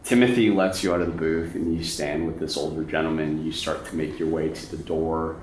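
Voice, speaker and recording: A man's voice: a bass voice, steady tone, brisk, a little mellow. A man acting as game master, narrating a tabletop horror game in an informal, descriptive way. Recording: roomy echo, background noise.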